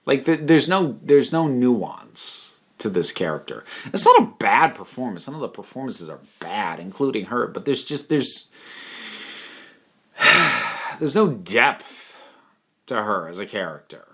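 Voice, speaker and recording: Halting, thoughtful man reviewing casually. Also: medium pitch, sing-song, bright, forceful tone, husky. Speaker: online reviewer. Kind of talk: casual spoken review commentary.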